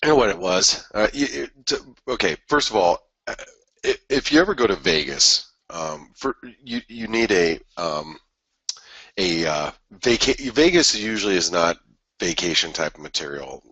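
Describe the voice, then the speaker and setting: A man with a stuffy head cold and a raspy voice, hosting a trading webinar in a rambling, casual way with many restarts. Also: sits low, animated, normal speed, sharp tone, no room sound, muffled recording. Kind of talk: casual market analysis talk.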